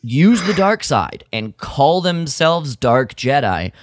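A man's voice: fairly high pitch, big pitch swings, slow, no echo, clean studio sound. A man co-hosting a podcast, explaining lore in a casual, informal way and often repeating his words. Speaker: podcast co-host. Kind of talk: casual fan discussion of lore.

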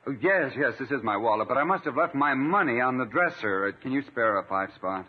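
A man's voice: mid-range voice, expressive, a little fast, husky. An actor playing a chummy, self-assured, presumptuous man in a 1950s radio comedy, polite and glib. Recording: tinny 1950s radio recording, faint hiss.

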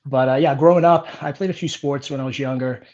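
A man's voice: medium pitch, fairly even intonation, quick pace, darkish tone. A man from Portugal, a native Portuguese speaker, telling his own story as a guest in a casual, rambling way. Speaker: interview guest, business owner. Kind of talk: casual chat, personal storytelling. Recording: slightly dull, lo-fi audio.

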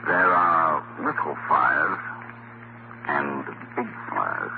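An actor playing a smooth, scheming villain with a soothing, deliberate, sinister manner in a 1940s radio drama. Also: male voice, very deep voice, lively intonation, heavily acted. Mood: quietly menacing. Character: smooth, scheming male villain, coolly confident and menacing. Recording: muffled, noisy 1940s radio recording.

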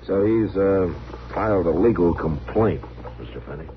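An actor playing a stern but fair frontier lawman in a 1950s radio western, speaking plainly and in a measured way with hesitant pauses. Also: low-pitched, lo-fi sound. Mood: measured, explaining. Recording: noisy, band-limited 1950s radio recording.

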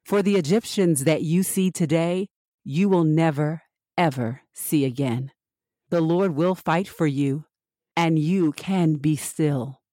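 A woman reading scripture as scripted narration for a Bible podcast, measured and expressive with the dialogue. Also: deep voice, no room sound, clean studio sound.

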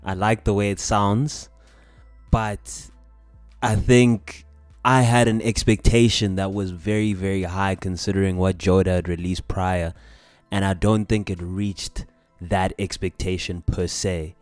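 A man from South Africa co-hosting a podcast, giving a measured, thoughtful opinion in a laid-back way. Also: deep voice, flat delivery, dry recording, faint music behind. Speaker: podcast co-host. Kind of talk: casual chat.

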